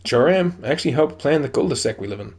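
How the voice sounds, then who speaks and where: A man's voice: medium pitch, expressive, fast, darkish tone, natural voice. A man reading as a proud, easygoing contractor dad. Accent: New Zealand. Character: proud middle-aged contractor dad, laid-back and friendly.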